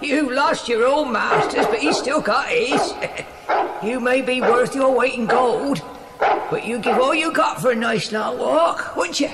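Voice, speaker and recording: An actor playing a simple, spiteful old English servant in an audio drama, muttering and chuckling in rustic, ungrammatical speech. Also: male voice, very high voice, measured pace. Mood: mocking, chuckling. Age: older. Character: simple, spiteful, cackling old cockney dog-keeper man. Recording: echoey reverberant space with faint noise.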